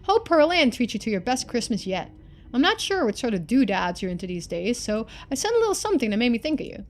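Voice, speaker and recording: A woman's voice: a little high, sing-song, quick pace, a little hiss or hum. A woman voicing a loving, lonely middle-aged father in a story game, reading his letters in an earnest, folksy manner. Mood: tender.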